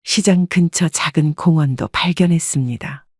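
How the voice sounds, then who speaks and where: A woman calmly narrating a first-person life story. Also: low-pitched, normal speed, husky, dry recording, clean studio sound.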